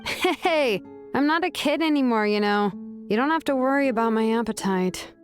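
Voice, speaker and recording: A woman's voice: on the high side, moderate pace, faint music behind, natural voice. A woman voicing a laid-back, mildly stubborn young woman in a video game, casual and nonchalant. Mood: mildly annoyed.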